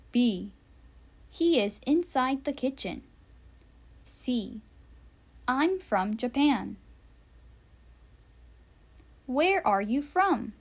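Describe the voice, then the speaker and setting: A woman reading an English listening quiz for learners, carefully enunciated, with pauses between the answer choices. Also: on the high side. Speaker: English teacher.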